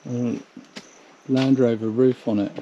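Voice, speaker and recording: A man's voice: mid-range voice, fairly even intonation, measured pace, lo-fi sound. A man on a walking tour, casually pointing things out in brief remarks. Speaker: companion on the tour. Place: walking outdoors and through open bush shacks. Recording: noisy on-the-move camera audio.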